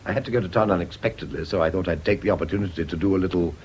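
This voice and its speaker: Actor as a very deep-voiced English gentleman. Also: very fast, close to an everyday voice. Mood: breezy, charming. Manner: well-spoken, polite. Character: wealthy, remorseful English gentleman, smooth-talking and evasive. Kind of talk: BBC radio drama acting. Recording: noisy radio drama recording.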